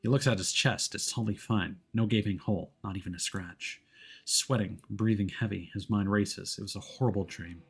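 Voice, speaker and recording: A man's voice: fairly low pitch, normal speed, gravelly, husky. A man streaming a video game, moving between casual commentary and reading story narration aloud.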